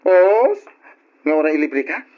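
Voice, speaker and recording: Eager friendly ilocano student, highish swoopy voice, muffled old radio drama. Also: male voice, sing-song, unhurried. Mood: eager, pleading.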